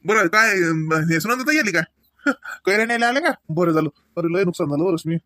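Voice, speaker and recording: कार्टूनमधील चटपटीत, चिडवणाऱ्या तरुण गावाकडच्या शेतकरी नवऱ्याला आवाज देणारा पुरुष, वऱ्हाडी बोलीत. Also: male voice, high-pitched, expressive, fairly quick, bright, forceful tone, no room sound, clean studio sound. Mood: surprised, teasing. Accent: rural Maharashtra, Varhadi Marathi. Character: brisk, teasing young village farmer husband. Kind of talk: comedy cartoon dialogue.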